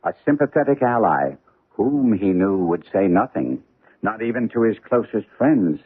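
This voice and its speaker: A man playing a shrewd, composed gentleman detective in a radio mystery drama, speaking in measured, assured phrases. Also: on the low side, sing-song, breathy edge. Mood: brisk, assured. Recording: muffled old radio broadcast, faint phone-like band.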